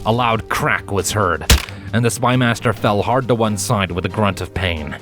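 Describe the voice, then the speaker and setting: A man reading a story as dramatic scripted narration, in a measured, solemn manner. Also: on the low side. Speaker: fan fiction reader, narrator. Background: background music.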